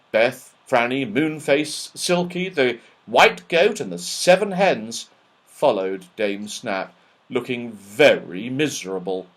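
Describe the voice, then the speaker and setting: Expressive, slow-paced male children's storyteller. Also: medium pitch. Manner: expressive, dramatic. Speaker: audiobook narrator. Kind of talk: animated children's story narration.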